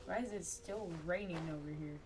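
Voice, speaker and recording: A woman's voice: on the low side, moderate pace. A woman streaming a game, reading dialogue and chatting casually. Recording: noisy room sound behind.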